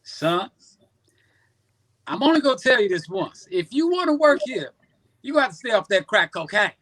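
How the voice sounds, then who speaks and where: Gruff male boss, played by an actor, curt, scolding, forceful bright voice, very dry close sound, comedy table read. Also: medium pitch, sing-song, normal speed, dry recording. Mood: stern, warning. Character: gruff, stern male fast-food restaurant boss.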